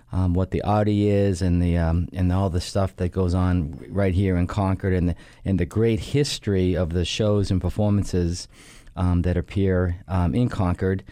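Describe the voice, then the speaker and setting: A man's voice: sits low, warm tone, dry recording, clean studio sound. A middle-aged man hosting a casual radio talk show, rambling with many fillers and restarts. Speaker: radio show host and company head. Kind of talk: casual radio chat and interview. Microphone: studio microphone.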